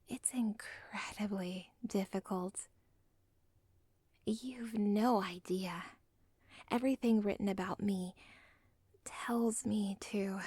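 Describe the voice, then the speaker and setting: A lovesick villain's daughter, played by a woman whispers close to the mic, rambling through asides about her own story. Her voice is gravelly and husky, and she drawls slowly. The sound is dry, with no room around it. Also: medium pitch, dry recording. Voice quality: soft whisper. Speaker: audio roleplay performer. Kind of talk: ASMR audio roleplay.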